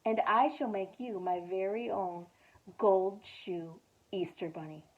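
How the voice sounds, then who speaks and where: Woman reading old grandfather bunny, wise and kind, gentle solemn storybook voice, tinny phone-like sound. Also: mid-range voice, unhurried, lightly acted.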